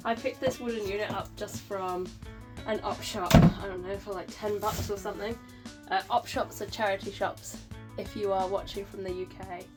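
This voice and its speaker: A woman's voice: medium pitch, fairly even intonation, normal speed, over loud music. A woman in her thirties chatting casually in a gardening vlog, stuffy-nosed and nasal from a cold, rambling with lots of ums. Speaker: gardening vlogger. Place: backyard greenhouse.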